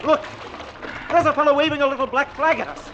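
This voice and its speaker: An actor playing a hopeful, reassuring captain of a lifeboat in an old radio drama, earnest and commanding. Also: male voice, very high-pitched, quick pace, pushed and bright, muffled recording, slight background noise. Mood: urgent, calling out. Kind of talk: 1940s-50s radio acting.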